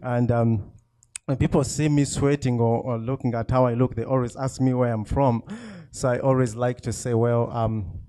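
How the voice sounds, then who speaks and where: Man, warm low-key tone, loose chatty delivery full of ums and laughs. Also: flat delivery, moderate pace, smooth. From Uganda. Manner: chatty, self-deprecating, laughs often, many fillers. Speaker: author speaking at a book event. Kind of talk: casual talk to a live audience.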